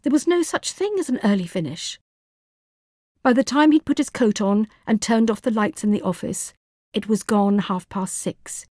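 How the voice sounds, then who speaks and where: A woman narrating fiction as an audiobook reader, in a measured, expressive storytelling manner. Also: medium pitch, no echo, studio quality.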